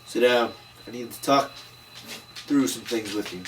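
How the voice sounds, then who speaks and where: A man's voice: medium pitch, measured pace, fairly bright tone, natural voice. A man playing a world-weary, middle-aged 1930s detective, dryly delivering terse hardboiled lines at a table read. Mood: guarded, businesslike. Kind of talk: noir voice-over and dialogue at a table read. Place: indoor table read, recorded on camera. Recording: distant mic, faint room noise.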